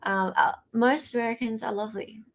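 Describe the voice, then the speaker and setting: Creaky-voiced Australian woman. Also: a little high, steady tone, fairly quick. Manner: informal, musing aloud. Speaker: livestream host and commentator. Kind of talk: casual live commentary chat.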